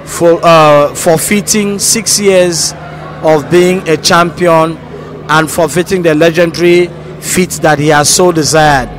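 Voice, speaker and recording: High-voiced middle-aged Nigerian man, noisy recording. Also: fairly even intonation, takes it slowly. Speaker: stage actor being interviewed. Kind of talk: explaining a play's story in an interview. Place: large bare indoor hall. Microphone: handheld microphone held at the mouth. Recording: handheld mic with clear background noise.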